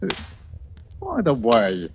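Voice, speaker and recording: An actor playing a wry, needling police officer confronting a suspect in a 1940s radio drama. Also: male voice, medium pitch, wildly swooping pitch, slow pace, vocal fry, heavily acted. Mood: smug, accusing. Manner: sardonic, needling. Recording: noisy vintage radio recording.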